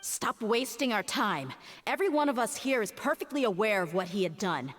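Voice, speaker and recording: A woman's voice: medium pitch, very animated, normal speed, a bit bright. A woman playing a stern, commanding young female mercenary in a video game, firm and blunt.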